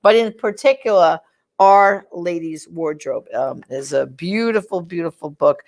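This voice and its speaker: An older woman hosting, talking casually to her audience about a recommendation in a halting, conversational way. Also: on the low side, a little mellow. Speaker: host. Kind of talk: casual promotional talk to audience.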